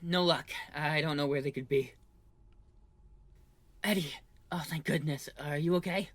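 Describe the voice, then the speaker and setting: A man playing an earnest, caring young superhero in an audio drama, with a halting delivery. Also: on the high side, fairly even intonation, no echo. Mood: worried, gentle.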